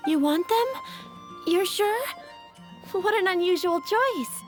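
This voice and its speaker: A young flower seller for an anime game. Her voice is extremely high and girlish. She is polite with a nervous edge, and music plays clearly behind her. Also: animated, slow pace, exaggerated voice. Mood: polite, hesitant. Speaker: shopkeeper. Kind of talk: anime video game voice acting. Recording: game dialogue over music.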